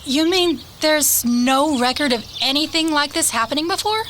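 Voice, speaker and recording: A woman's voice: high-pitched, animated, moderate pace, fairly bright tone. A woman acting in a radio drama as an eager, chatty, admiring young woman who likes to insist. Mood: eager, hospitable. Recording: noisy radio play recording.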